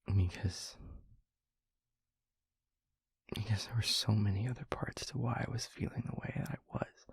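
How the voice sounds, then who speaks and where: Whispering, gravelly, haunted vampire boyfriend acted by a man. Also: fairly low pitch, steady tone, no room sound, heavily acted. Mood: pained, numb. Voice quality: breathy, husky near-whisper. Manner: halting, hushed, earnest delivery with heavy pauses. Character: tender, haunted male vampire boyfriend confessing past despair. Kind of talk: intimate ASMR-like audio roleplay.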